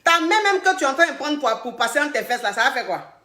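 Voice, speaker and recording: A woman with a slight head cold, giving coaching advice on a live stream, repeating herself and bursting out loudly on key points. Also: high voice, very animated, fast, roomy sound, faint music behind. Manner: emphatic and repetitive, loud bursts on key points. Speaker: relationship coach.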